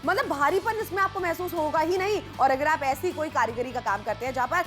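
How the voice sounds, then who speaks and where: A woman's voice: high voice, a little fast, a bit bright. A woman presenting a home-shopping product on TV, chatty and persuasive, eagerly agreeing with her co-host, over background music. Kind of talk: lively home-shopping pitch.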